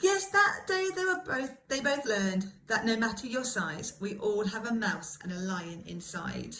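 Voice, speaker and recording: A woman reads a picture-book story to young children with dramatic emphasis. Her voice is fairly high and very animated, over faint background noise. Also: unhurried. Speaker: primary school teacher reading a story. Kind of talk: expressive children's storybook reading.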